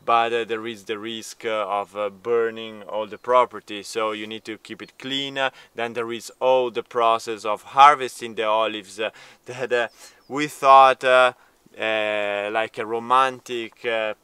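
A man's voice: medium pitch, little pitch movement, a little slow, pushed and bright, clear, resonant tone, dry recording. A man in his thirties, a native Italian speaker, talking casually to camera outdoors in an olive grove with many hesitant fillers. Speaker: vlogger, homesteader. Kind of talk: casual vlog talk to camera.

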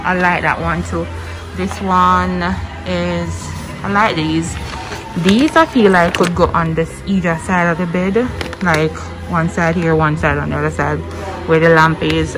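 A woman's voice: on the low side, unhurried, low-quality recording. A young woman vlogger from Jamaica, chatting casually as she browses a home decor store, with lots of ums and likes. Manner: relaxed, musing, many ums and likes. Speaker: lifestyle vlogger. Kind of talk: casual store tour voice-over. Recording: music playing under the voice. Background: background music.

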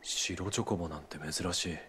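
A man's voice: low-pitched, moderate pace, sharp tone, a little hiss or hum. A man playing a stoic, earnest young warrior in a video game, speaking in a terse, serious, restrained way. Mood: calm, curious. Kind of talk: dramatic video game voice acting.

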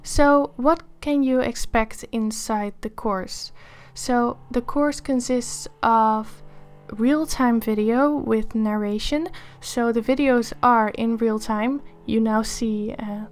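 A young woman with a Dutch accent, hoarse from a cold, chatting upbeat over a drawing tutorial video. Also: on the high side. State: hoarse, losing her voice from a cold. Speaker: art tutorial creator. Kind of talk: casual voice-over for a drawing time lapse.

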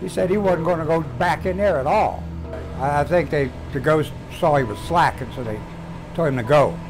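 An older man, a maintenance worker, giving a halting casual interview in a hotel basement. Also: fairly high pitch, over music, poor audio. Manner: halting, folksy storytelling with restarts. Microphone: lapel.

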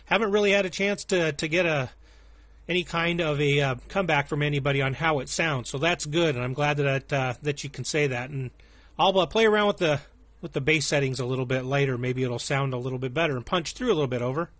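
A man's voice: on the high side, quick pace, a bit bright. A man talking casually as an amateur radio operator, polite and appreciative. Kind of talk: casual radio contact chat.

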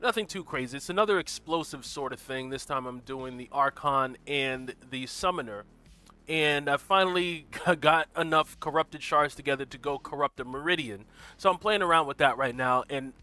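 A man hosting a gaming livestream in casual commentary, greeting viewers by name and walking through his build, a little stuffy from a cold and clearing his throat now and then. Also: a little high, moderate pace, pushed and bright, soft background music. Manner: walks through game build details. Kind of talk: casual live gameplay commentary.

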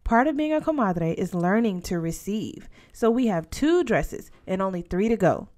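A woman voicing a bossy, generous teenage girl in a storybook, brisk, teasing and persuasive. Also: medium pitch, sing-song, a little mellow, natural voice. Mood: insistent, generous.

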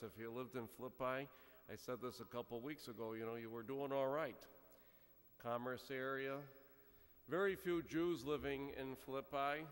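A man's voice: mid-range voice, a bit bright. A middle-aged man, a priest, giving a conversational sermon from the pulpit, telling stories with frequent fillers. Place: large church, speaking from the pulpit. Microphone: gooseneck pulpit microphone. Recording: church reverb from a fixed camera.

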